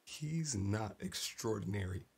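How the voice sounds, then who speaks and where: An actor playing a tormented, resigned killer and estranged brother in a stage drama, speaking haltingly in a gravelly, husky voice. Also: male voice, lowish voice, expressive, darkish tone, full character voice. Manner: slow, halting, haunted.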